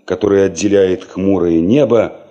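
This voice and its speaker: A man narrating a Soviet radio play in a measured, reflective literary style. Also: sits low, dark tone, highs cut off.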